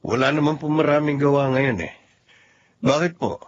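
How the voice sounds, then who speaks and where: A man's voice: fairly high pitch, moderate pace, highs cut off, slight background noise, natural voice. An actor with a Filipino accent playing a kind, forgiving middle-aged husband and honest tradesman in a radio drama. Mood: respectful, gentle.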